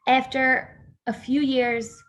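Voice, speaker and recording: A woman's voice: sits high, level tone, slow pace, a bit bright. Earnest young woman storyteller. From Brooklyn, New York. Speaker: guest speaker, Israel education organization staffer. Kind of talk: prepared personal family history talk.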